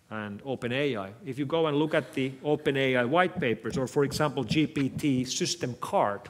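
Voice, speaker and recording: Finnish man giving a keynote talk. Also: medium pitch. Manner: measured, thoughtful, frequent restarts. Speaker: keynote speaker. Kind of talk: conference keynote with audience Q&A. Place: large lecture hall on stage. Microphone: headset mic.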